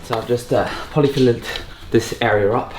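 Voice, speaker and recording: A man's voice: medium pitch, fairly quick, music in the background. A man casually narrating hands-on home renovation, thinking aloud as he works. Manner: unscripted, thinking aloud while working. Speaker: host of a home renovation vlog. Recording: noisy home recording with clicks.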